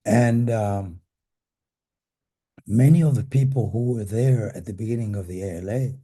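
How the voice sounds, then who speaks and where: An older man, hoarse with a cold and losing his voice, recalls history in a measured, halting panel talk as a retired academic. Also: lowish voice, warm tone. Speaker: panelist, retired professor and former association president.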